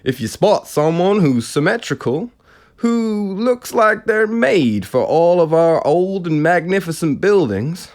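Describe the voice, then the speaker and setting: A man's voice: high voice, expressive, unhurried, darkish tone, pitched above the performer's own voice. A man voicing a relentlessly jovial, theatrical American radio presenter in an audiobook reading. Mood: jovial, exuberant. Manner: theatrical, showy.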